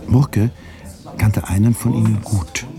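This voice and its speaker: A man's voice: on the low side, steady tone, takes it slowly, music in the background. A man narrating a literary radio play from a script.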